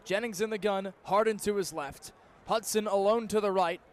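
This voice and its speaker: A young man calling live football on the radio as a play-by-play announcer, in clipped phrases that build into drawn-out, excited calls. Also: high voice. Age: young adult. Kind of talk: live radio sports commentary.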